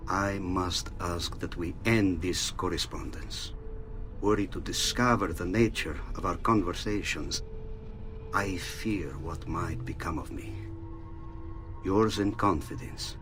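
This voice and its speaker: A grave friar reading out a secret letter, really deep voice, formal and careful, music playing behind. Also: male voice. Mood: fearful, guarded. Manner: measured, formal, earnest. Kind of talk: dramatic letter narration.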